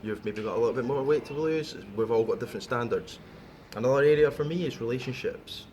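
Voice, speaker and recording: A man's voice: mid-range voice, fast talker, darkish tone, a little hiss or hum. A man speaking in a casual motivational style, blunt and emphatic, repeating phrases to drive points home. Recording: music behind the talk. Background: background music.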